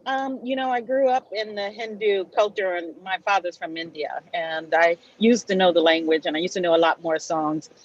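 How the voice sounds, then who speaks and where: A woman chatting casually on a video call, relaxed and laughing between her thoughts. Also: on the low side, normal speed, few pauses, highs cut off. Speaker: party guest.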